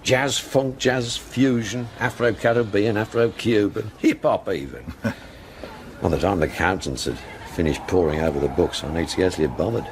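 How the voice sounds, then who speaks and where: Older man, acted, very deep pitch, club owner, rueful, wry fragments, radio drama, faint noise behind. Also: normal speed, lightly acted. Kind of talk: naturalistic radio drama acting.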